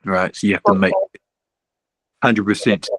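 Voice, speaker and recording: A man's voice: sits low, steady tone, a little slow, fairly bright tone, no echo. A middle-aged man, a basketball coach chatting casually in an interview, rambling with many restarts and tag questions. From New Zealand. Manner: rambling, restarts often, ends with "right?".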